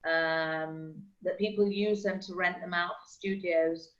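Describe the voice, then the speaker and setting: A middle-aged woman working as a real estate agent, chatting casually and explaining things with quick examples over a call. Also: on the low side, steady tone, soft background music. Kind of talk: casual video call chat. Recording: slightly dull lo-fi call audio.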